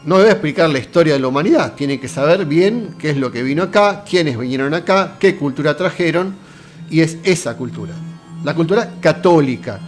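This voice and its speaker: A man's voice: on the high side, animated, moderate pace, faint music behind. Argentine man lecturing on philosophy. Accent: Argentine Spanish. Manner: conversational, addresses viewer directly with rhetorical questions. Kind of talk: casual lecture.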